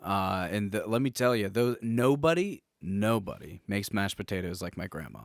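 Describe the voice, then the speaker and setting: A man's voice: on the low side, lively intonation, moderate pace, creaky, no echo, clean studio sound. A man co-hosting a casual podcast, reminiscing in a rambling, anecdotal way. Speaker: podcast co-host.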